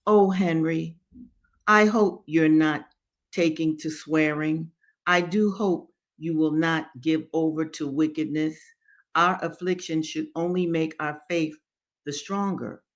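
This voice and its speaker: A woman's voice: low voice, fairly even intonation, slow pace. A pious, genteel mistress voiced by a woman reader moralizes with formal wording. She is reproachful and sure of her own righteousness, in a storybook drama. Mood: gentle reproach. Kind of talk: dramatic novel reading.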